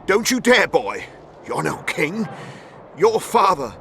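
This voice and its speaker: An actor playing an obsequious, greedy middle-aged count in a video game, speaking in a formal, deferential way. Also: male voice, high-pitched, sing-song, takes it slowly, creaky, pushed and bright, slight background noise, heavily acted. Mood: indignant alarm. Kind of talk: dramatic video game acting.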